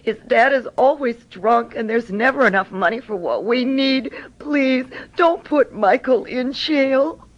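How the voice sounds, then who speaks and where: A woman's voice: fairly high pitch, a little slow. An actress playing an anxious middle-aged mother in a radio drama. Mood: pleading. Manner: pleading, anxious. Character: worried mother of a teenage son. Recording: dull radio broadcast.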